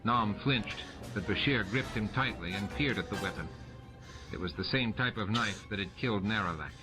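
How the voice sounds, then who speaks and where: A man's voice: lowish voice, moderate pace, rough recording. A man reading a science fiction novel as scripted audiobook narration. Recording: music and effects under the voice. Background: music and sound effects.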